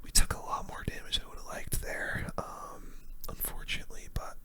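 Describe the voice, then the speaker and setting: A gaming video host whispering ASMR commentary in a casual, chatty way with gamer slang. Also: normal speed. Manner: hushed, whispering throughout.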